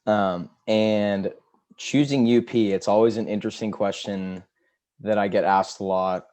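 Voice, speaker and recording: A young man from Seattle, a student introducing himself on a video call, relaxed and thinking aloud with fillers. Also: lowish voice, measured pace. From Seattle, USA. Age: young adult. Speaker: student scholarship recipient.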